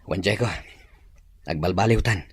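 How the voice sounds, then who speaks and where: An actor playing a steady young man who gives his friend advice, in a radio drama. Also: low-pitched, moderate pace, husky, no echo. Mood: calm. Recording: radio drama with music bed.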